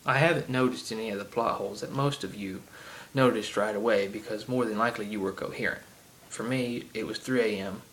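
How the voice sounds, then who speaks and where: A man's voice: lowish voice, fairly even intonation, a little fast, hardly any pauses, fairly bright tone, a little hiss or hum, poor audio. A man with a heavy Southern accent rambling through a casual game rant, full of ums and side tangents. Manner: chatty, many ums and asides. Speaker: gaming vlogger. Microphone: iPod camera.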